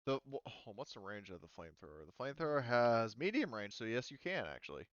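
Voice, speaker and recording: A man's voice: medium pitch, sing-song, quick pace, dry recording, highs cut off. A man running a tabletop game as game master, talking casually and thinking aloud as he checks the rules.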